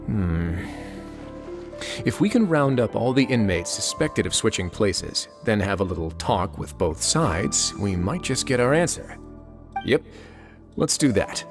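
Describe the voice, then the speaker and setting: An actor playing a composed, authoritative duke in a video game, explaining in a measured, analytical way. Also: male voice, fairly low pitch, sing-song. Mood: measured, decisive. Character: composed, authoritative male duke solving a case. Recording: music clearly behind the voice.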